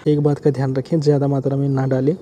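Mellow-voiced man explaining bait outdoors. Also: medium pitch, completely flat delivery, quick pace, muffled recording, slight background noise. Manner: chatty and repetitive, often says "friends". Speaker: fishing channel host. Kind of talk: casual how-to demonstration. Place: outdoors by a river.

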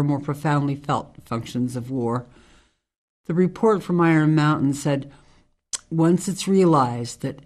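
Radio drama: tough female ex-military ranger, played by a woman, measured, earnest monologues, low-voiced for a woman. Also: darkish tone. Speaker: actor in an amateur radio drama.

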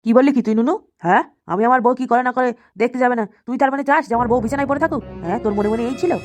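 Testy, quick-tongued old village man played by a woman. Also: a little high, faint music behind, natural voice. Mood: defensive. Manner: repetitive, grumbling. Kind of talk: comic cartoon dialogue.

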